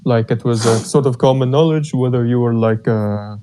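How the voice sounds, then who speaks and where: A man from Albania who speaks English with an Albanian accent, hesitantly explaining history in a casual online group chat, with many pauses. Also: medium pitch, dark tone, slight background noise. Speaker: participant. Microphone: online audio chat.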